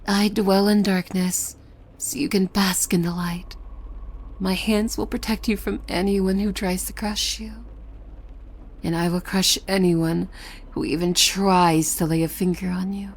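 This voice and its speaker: A woman's voice: medium pitch, little pitch movement, measured pace, a little hiss or hum, lightly acted. A woman playing a possessive, doting demon maid in an ASMR roleplay, speaking formally and servilely with menace underneath, often slipping into a whisper. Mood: menacing, protective.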